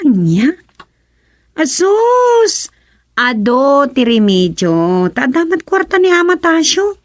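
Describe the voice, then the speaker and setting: A woman's voice: sits high, slow pace, no room sound, studio quality, heavily acted. A woman with an Ilocano Filipino accent playing a nosy, teasing village gossip with exclamatory delivery in radio comedy. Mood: gossipy. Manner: exclamatory, animated. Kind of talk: radio comedy drama acting.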